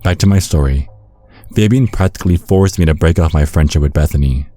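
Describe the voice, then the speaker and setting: Man playing a very deep-voiced vampire storyteller. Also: a little fast, mellow, no room sound, soft background music. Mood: confiding. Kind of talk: first-person horror storytelling, ASMR.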